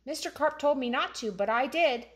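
Woman playing an anxious, high-pitched little boy in a storybook. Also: expressive, normal speed. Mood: panicked. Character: anxious young boy who overfed his pet fish.

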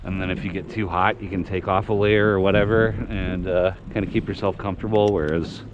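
A man's voice: deep voice, steady tone, brisk. A man giving casual vlog commentary outdoors, rambling and thinking aloud as he goes. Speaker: vlogger. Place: outdoors in an industrial park lot. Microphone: body-worn action camera. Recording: muffled action-camera audio with clear outdoor noise.

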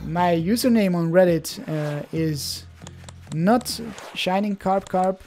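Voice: medium pitch, slow, darkish tone, soft background music. A young adult from Belgium, a native Dutch speaker with a Belgian Dutch accent, chatting casually in hesitant, restart-filled commentary while opening card packs.

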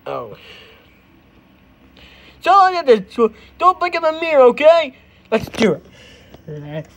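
A man doing an exaggerated, excitable amateur parody dub of a cartoon, prone to shouting and yelping. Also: extremely high pitch, takes it slowly, gravelly, slight background noise. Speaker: amateur fan dubber.

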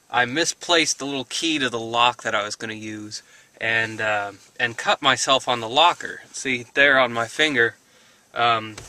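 A young man films a casual video diary from the driver's seat of his parked car, holding the camera at arm's length. His voice sounds bright and a little tense. He rambles, hesitates, and corrects himself with small chuckles. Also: medium pitch, no echo. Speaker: vlogger. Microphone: handheld camera at arm's length.

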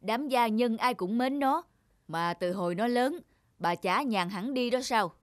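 An actor playing a stern, gullible middle-aged merchant father in a cartoon, with a gruff, authoritative manner. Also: mid-range voice, animated, a little slow, no room sound, studio quality, close to an everyday voice. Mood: puzzled.

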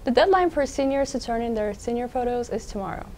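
A woman's voice: fairly high pitch, animated, fast, darkish tone, a little hiss or hum. A teenage girl from Turkey, a student anchor reading school announcements at a desk in a school TV studio. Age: teens.

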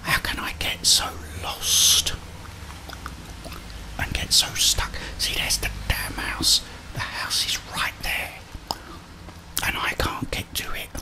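A middle-aged man whispers ASMR gameplay commentary in a breathy voice, muttering and mildly grumbling to himself. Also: slow, long pauses, low-quality recording. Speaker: gamer, ASMR video host. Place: indoors, small room at home.

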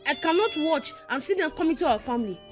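A very high-voiced housewife, fretful and sure that enemies stalk her family, as a woman acts her out. She pleads anxiously, and her voice swoops up and down as she insists. Faint music hums beneath the comedy skit. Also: animated, fast. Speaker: comic actor.

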